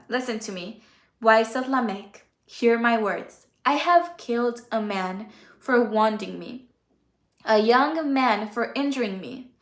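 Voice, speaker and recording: Echoey, higher-voiced woman, native Spanish speaker. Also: fairly bright tone. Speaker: Bible teacher and channel host. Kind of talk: informal Bible study talk, reading and explaining.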